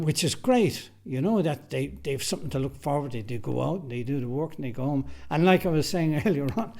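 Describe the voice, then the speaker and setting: A man's voice: fairly high pitch, a little mellow. An Irish man who writes and directs radio drama, answering interview questions casually and emphatically, often repeating his phrases, in a recording studio.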